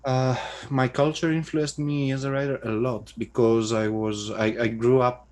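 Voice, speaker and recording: A man from Greece with a Greek accent, a guest in an interview, speaking English thoughtfully with many pauses. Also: medium pitch, level tone, darkish tone. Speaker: guest, adventure writer. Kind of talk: casual interview answers.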